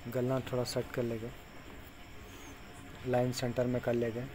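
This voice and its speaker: A man's voice: mid-range voice, completely flat delivery, unhurried. A man casually explaining step by step how to tie fishing hooks while he works. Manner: plain instructive, talks while tying knots. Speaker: fishing tutorial maker. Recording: clear background insect noise.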